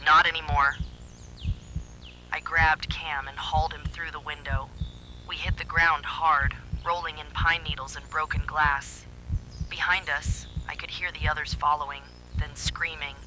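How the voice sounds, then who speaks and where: A man narrates a horror story in the first person with dramatic suspense. His voice sits a little high and is very bright and sharp. A faint hum of noise runs underneath. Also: flat delivery, moderate pace, low-quality recording.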